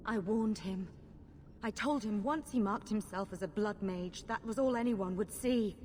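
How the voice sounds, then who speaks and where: A woman playing a proud rebel sorceress in a fantasy game. She speaks with earnest, persuasive passion at a medium pitch, in long reverb over faint noise. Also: normal speed, a little mellow, close to an everyday voice. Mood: urgent pleading. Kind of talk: dramatic video game acting.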